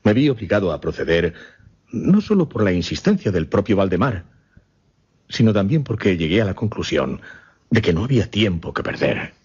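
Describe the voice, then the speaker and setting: Un actor haciendo de caballero grave, voz muy ronca. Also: male voice, deep voice, very animated, a little mellow, breathy edge, heavily acted. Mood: earnest, explaining. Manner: deliberate, formal, dramatic pauses. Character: grave gentleman hypnotist recounting his macabre experiment. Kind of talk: radio drama acting, dialogue narration. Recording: slightly muffled, lo-fi audio.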